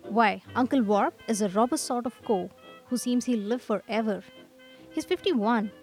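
A woman's voice: a little high, natural voice. A woman playing an earnest, struggling young male painter in a radio drama, with a plaintive, theatrical manner. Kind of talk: radio drama character acting.

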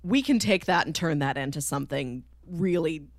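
A woman's voice: sits low, expressive, moderate pace, no room sound. Woman podcaster chatting, dry close sound. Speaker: podcast host. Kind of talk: casual discussion of a TV series.

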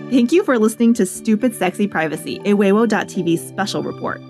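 A woman's voice: mid-range voice, animated, fast, faint music behind. A woman host reading sponsor and outro copy in an upbeat, friendly scripted way. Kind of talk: scripted ad read.

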